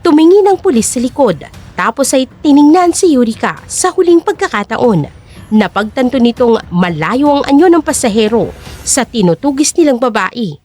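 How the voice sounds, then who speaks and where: Woman, story narrator, high-pitched, animated intonation, dramatic scripted narration. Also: normal speed, soft background music.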